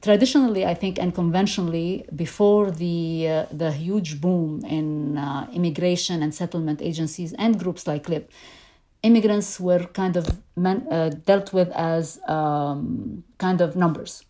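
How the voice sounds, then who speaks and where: A woman's voice: lowish voice, steady tone, a little slow, warm tone. A middle-aged woman whose first language is Arabic, answering interview questions thoughtfully with frequent fillers and restarts. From Lebanon. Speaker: interview guest, immigrant. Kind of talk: conversational interview.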